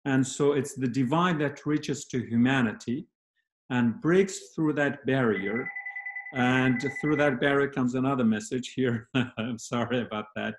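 A man speaking as a panel guest in a reflective discussion, slow and measured with many pauses and fillers, on a video call from a home study. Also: medium pitch. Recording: roomy video call audio.

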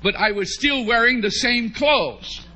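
A middle-aged American man preaching, telling a humorous story to a congregation in an animated way with drawn-out emphasis. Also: extremely high pitch, slight background noise. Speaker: preacher, bishop. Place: large church hall, at a pulpit before a congregation. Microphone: podium microphone on a stand.

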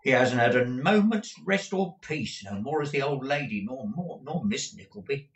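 A man voicing an eccentric, kindly, gruff storybook man who mutters in broken phrases and repeats his words. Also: medium pitch, animated, normal speed, roomy sound, lightly acted, low-quality recording.

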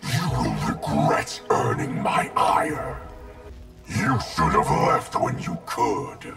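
An actor playing a cold, vengeful undead ninja in a fighting game, with a gravelly, distorted growl and threatening delivery. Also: male voice, extremely low pitch, wildly swooping pitch, slow, exaggerated voice. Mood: menacing. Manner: threatening, declamatory. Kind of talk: fighting game character dialogue. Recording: loud music behind.

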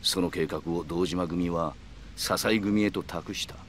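An actor playing a calm, calculating middle-aged yakuza man who speaks in polite, measured, formal phrases in a video game. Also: low voice, slight background noise. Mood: measured, insinuating. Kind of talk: Japanese video game cutscene acting.

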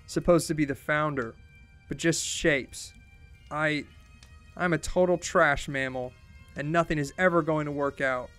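A man's voice: on the high side, steady tone, a little slow, a bit bright, soft background music. A man voicing a drunk young college dropout from a game, crude and maudlin, rambling in slangy, self-deprecating bursts. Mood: drunken despair.

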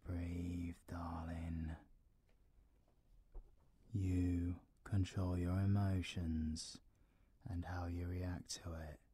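A man's voice: low voice, flat delivery, drawn-out words, long pauses, dark tone, husky, full character voice. A British man playing a cocky, foul-mouthed yet protective supervillain in an audio roleplay. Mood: soothing, hushed. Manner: slangy, swearing, swinging from murmurs to outbursts. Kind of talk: audio roleplay, intimate ASMR acting.

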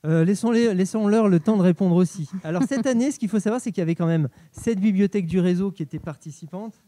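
A man presents a casual live show in an enthusiastic way. His voice sits high for a man yet has a very mellow, dark tone. He talks through a mask into a handheld microphone. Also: fast talker, no room sound. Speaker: co-host, librarian. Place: small decorated indoor stage set. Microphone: handheld microphone, speaking through a face mask.

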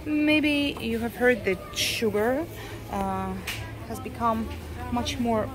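A Russian woman with a Russian accent, talking casually as a vlogger while she walks through a grocery store, halting often with "uh" and restarts. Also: mid-range voice. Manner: unhurried, halting, frequent uh and restarts. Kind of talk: casual shopping vlog walk-through. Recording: clear store background noise.

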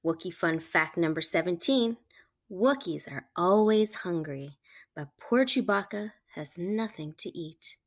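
A woman reading a picture book aloud to children, with animated, emphatic storytelling delivery. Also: fairly low pitch, unhurried. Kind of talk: expressive read-aloud narration for children.

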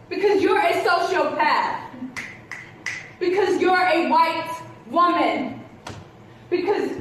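A woman's voice: extremely high pitch, exaggerated voice. A woman acting a stern, accusing younger police investigator in a live stage play, confrontational and emphatic. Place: theatre stage with rows of seats. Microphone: distant camera. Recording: echoey theatre stage from far off, noisy.

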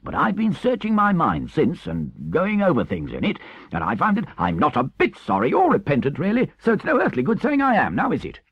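An impudent, vain toad, pitched far above the reader's own voice. He gushes theatrically and swoops wildly, an excitable and unrepentant storybook creature. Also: brisk, creaky, husky, dry recording, lo-fi sound, heavily acted. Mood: impatient, gushing.

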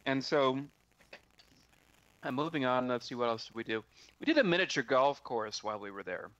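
A man casually telling a story on a video call, halting and often repeating his words. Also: mid-range voice, expressive, fairly bright tone, no room sound, dull audio.

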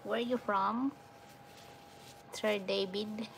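A Filipino woman in her thirties chatting slowly and softly with viewers during a phone live stream at night. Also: medium pitch, steady tone, vocal fry, slight background noise, poor audio. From Philippines. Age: 30s. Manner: slow, soft-spoken, thanks viewers often. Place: dim room at night, indoors. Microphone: phone held close.

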